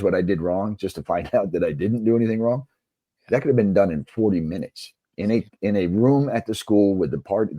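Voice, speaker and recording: A man's voice: deep voice, dark tone, no room sound. A middle-aged man answering as an interview guest in an earnest, conversational way, with frequent asides. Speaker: interview guest, basketball coach and author.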